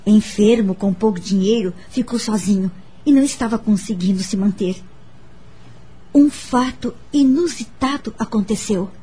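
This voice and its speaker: A woman playing a gentle, wounded but forgiving adult daughter who recounts her family past in a radio drama, measured and heartfelt. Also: mid-range voice, slightly rough, lightly acted. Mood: sorrowful, reflective. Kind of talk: dramatised first-person narration, radio drama. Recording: background music under the voice.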